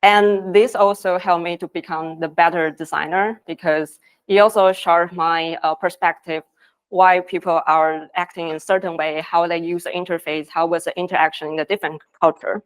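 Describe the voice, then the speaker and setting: Woman, conference speaker, earnest, slightly low voice. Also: steady tone, normal speed, clean tone. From Taiwan. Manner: earnest, with non-native phrasing. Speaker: conference speaker, design lead. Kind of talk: conference presentation. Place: conference stage.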